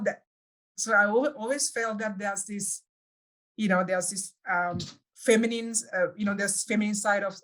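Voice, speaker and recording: A woman from Sri Lanka, a guest in an interview, giving reflective answers and often pausing to find her words. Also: medium pitch. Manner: thoughtful, pauses often to search for words.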